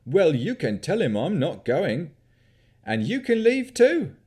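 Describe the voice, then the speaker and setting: A man voicing a gangly, surly young male wizard with a rough British country yokel accent. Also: on the high side, huge pitch swings, takes it slowly, warm tone, pitched above the performer's own voice, exaggerated voice. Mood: irritated. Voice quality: brash, rough-spoken.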